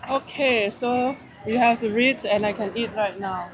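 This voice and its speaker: A woman's voice: fairly high pitch, unhurried, rough recording. Woman co-host in broken English. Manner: simple broken English, laughs often. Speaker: vlog co-host. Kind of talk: casual live-stream chat. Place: restaurant at breakfast with crowd around. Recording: busy crowd chatter behind.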